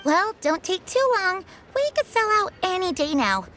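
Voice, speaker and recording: A woman's voice: very high voice, very animated, normal speed, fairly bright tone, faint music behind, heavily acted. A woman playing a chirpy young shopkeeper in a video game, polite, businesslike and salesy. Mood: brisk, polite.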